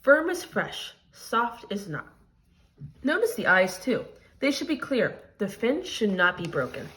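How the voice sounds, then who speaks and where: A woman's voice: on the high side, sing-song, measured pace, a bit bright. A woman reading an earnest, encouraging sushi-chef father. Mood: instructive. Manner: instructive, earnest. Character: demanding but encouraging Japanese immigrant father, a sushi chef.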